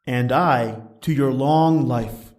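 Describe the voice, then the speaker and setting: A man voicing a cunning, vengeful gentleman who feigns solicitous concern in a gothic horror story. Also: medium pitch, takes it slowly, close to an everyday voice. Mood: falsely concerned.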